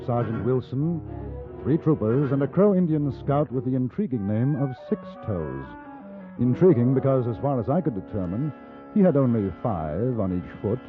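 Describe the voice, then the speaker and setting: Actor as wry British gentleman adventurer, vintage radio. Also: mid-range voice, close to an everyday voice. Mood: wry narration. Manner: wry, understated. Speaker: actor and narrator. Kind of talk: 1950s radio drama acting and narration. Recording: old radio, narrow band, music behind.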